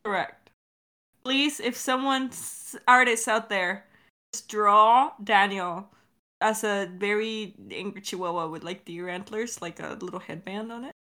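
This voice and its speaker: A woman's voice: mid-range voice, unhurried, bright, forceful tone. A woman co-hosting a creator Q&A, chatting casually in a giggly way and swearing freely. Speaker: podcast creator and co-host.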